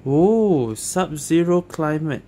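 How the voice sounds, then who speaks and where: A man's voice: on the high side, animated, slow pace. A man doing casual gameplay commentary, muttering his reactions and reading game text aloud. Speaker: gaming video host playing an action RPG. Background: game music and effects.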